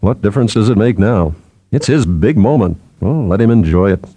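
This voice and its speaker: A man's voice: on the low side, lively intonation, normal speed, dark tone, husky, no room sound. A man voicing a wry, nervy man resigned to looming catastrophe, in a hesitant, questioning way for an audiobook. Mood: resigned gaiety.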